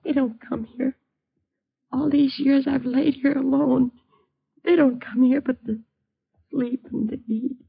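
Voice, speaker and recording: A woman's voice: high-pitched, fairly even intonation, unhurried, gravelly, pauses often, husky, exaggerated voice. An actress playing a frightened, fretful bedridden mother haunted by a fire, in an old-time radio drama. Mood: anxious, hurt. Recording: dull lo-fi vintage radio recording.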